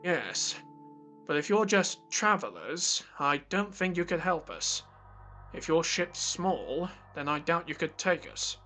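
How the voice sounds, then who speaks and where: Bright, higher-voiced man playing a guarded spaceship captain. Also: expressive, slow pace, soft background music, full character voice. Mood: wary. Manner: blunt, skeptical. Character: guarded, blunt human male spaceship captain. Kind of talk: sci-fi audio drama acting.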